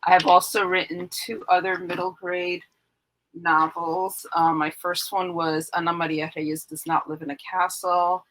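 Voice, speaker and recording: A middle-aged woman from New York, introducing herself in a measured, plain way as an author on a panel. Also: lowish voice, a bit bright, low-quality recording. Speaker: author guest, lawyer.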